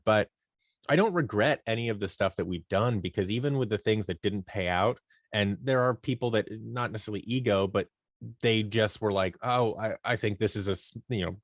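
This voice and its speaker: A man gives casual interview answers in a slightly low voice. His sound is dry, with little room in it. He talks informally, slipping into imagined quotes as he explains. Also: no room sound. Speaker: podcast guest, audio drama producer.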